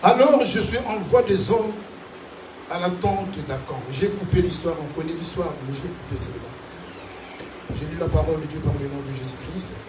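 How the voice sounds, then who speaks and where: A man from Africa preaching a sermon to a congregation, animated and calling out to them. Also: fairly high pitch, moderate pace. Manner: animated preaching with call-and-response. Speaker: pastor. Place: large church hall. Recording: echoey hall, distant mic, noisy.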